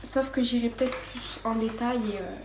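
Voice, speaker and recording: A woman's voice: on the high side, moderate pace. A teenage girl giving a casual personal testimony, partly read from notes, hesitant with many fillers and restarts. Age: teens. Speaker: vlogger. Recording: echoey room, faint background noise.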